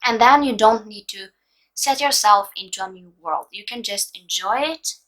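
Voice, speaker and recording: A woman in her early twenties with a Norwegian accent, a native Norwegian speaker, giving relaxed book advice in a vlog with many fillers and pauses. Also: mid-range voice, rough recording. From Norway. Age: 20s. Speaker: book vlogger.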